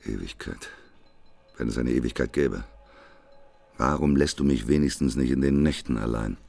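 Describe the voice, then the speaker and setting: An actor playing a weary, grumpy prophet in a radio drama, curt and grumbling. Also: male voice, moderate pace, darkish tone, exaggerated voice. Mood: weary, irritable. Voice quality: very deep, gravelly. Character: weary, grumpy male prophet wanting to be left alone.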